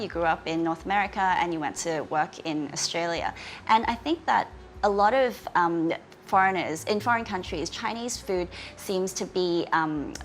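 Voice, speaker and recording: A woman hosting a food interview, asking loose, chatty questions with fillers. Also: medium pitch. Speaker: host.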